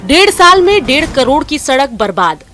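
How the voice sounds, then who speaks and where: High-pitched Indian woman reading TV news. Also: big pitch swings, moderate pace, fairly bright tone, faint music behind. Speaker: news narrator. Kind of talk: scripted TV news voice-over.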